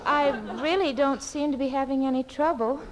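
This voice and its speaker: An actress playing a naive, polite, timid young wife in 1940s radio comedy, on a crackly old record. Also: female voice, high-pitched, steady tone, normal speed, lightly acted. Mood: innocently puzzled.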